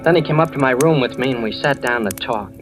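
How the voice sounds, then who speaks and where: Actor as nervous young impostor, old radio drama. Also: male voice, medium pitch, fast, natural voice. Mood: tense narrating. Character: nervous young male impostor posing as a returned son. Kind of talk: 1940s radio acting, first-person narration. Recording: old radio recording with music behind.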